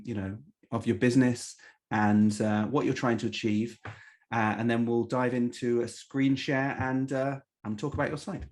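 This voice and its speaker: A man hosting a weekly marketing club show, introducing the episode and a guest over a video call. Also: mid-range voice.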